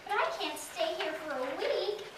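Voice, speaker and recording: Young girl as a high-voiced little bear, distant and echoey. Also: measured pace. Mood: gloomy. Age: child, about ten or eleven. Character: gloomy, greedy, good-natured little bear. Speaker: readers theater competitor. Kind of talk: staged readers theater performance. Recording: distant echoey room, noisy recording.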